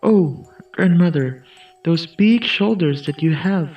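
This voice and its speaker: Folk-tale child: man playing a curious, innocent little girl, higher pitch, singsong, muffled recording. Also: slow. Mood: wondering. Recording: muffled lo-fi distant mic, music behind.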